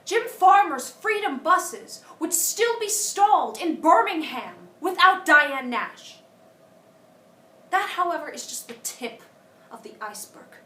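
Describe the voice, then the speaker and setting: Indignant female civil rights activist, played by a woman, bright forceful voice, deliberate stage oratory, echoey room. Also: extremely high pitch, exaggerated voice. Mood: impassioned. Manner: deliberate, emphatic oratory with dramatic pauses. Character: indignant eloquent woman civil rights organizer addressing a gathering. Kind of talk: theatrical audition monologue as a formal speech. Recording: echoey room, distant microphone.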